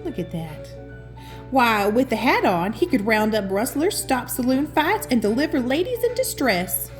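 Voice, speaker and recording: A woman reads a children's picture book aloud. Her voice is on the high side and swings expressively, with affectionate, chatty asides to her listener. Soft music plays behind her. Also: big pitch swings, normal speed. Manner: affectionate, addresses the listener as sweetheart. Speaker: children's storybook reader and host.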